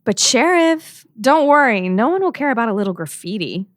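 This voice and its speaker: A woman's voice: a little high, big pitch swings, normal speed, no echo, studio quality. A woman playing an earnest, pushy teenage student journalist in an audio drama, with an insistent, sassy manner. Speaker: voice actor.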